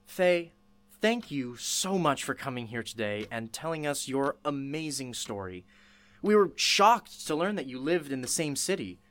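Low-pitched female interviewer, acted by a woman, effusive, earnest, sympathetic, radio play. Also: no room sound.

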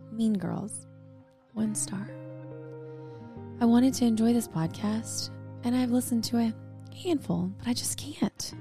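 A woman's voice: a little high, takes it slowly, pauses often, mellow, soft background music. A woman co-hosting a casual chat podcast, with a chatty, jokey, folksy manner. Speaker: podcast co-host.

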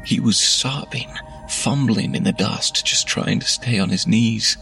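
A male narrator with a low, gravelly voice reads a horror tale at an unhurried pace. His delivery is measured and hushed, sinking to whispers at tense moments. Soft music sits faintly under the narration. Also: rough recording.

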